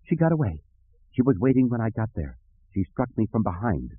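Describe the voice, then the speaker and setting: A man's voice: fairly low pitch, sing-song, a little fast, breathy edge, narrow, tinny audio. A man voicing a cool, unflappable female android henchwoman with a clipped, emotionless manner in an audiobook. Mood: cool, matter-of-fact.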